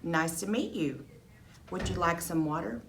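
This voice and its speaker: A woman's voice: lowish voice, animated, measured pace, gravelly, a bit bright, a little hiss or hum, heavily acted. A woman voicing a shy, polite mother who speaks careful learner's phrases in a children's story.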